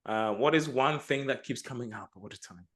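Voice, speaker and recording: A man's voice: mid-range voice, brisk, fairly bright tone. A man speaking as a guest coach in an interview, reflective and often restarting his phrases. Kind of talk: casual interview chat.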